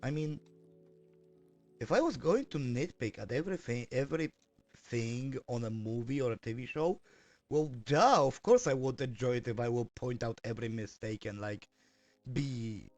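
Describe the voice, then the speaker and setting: A man's voice: medium pitch, animated, moderate pace, darkish tone, dry recording, faint music behind. A man streaming and chatting casually as he works, hesitant with lots of fillers and self-corrections. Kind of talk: casual live-stream chat while working.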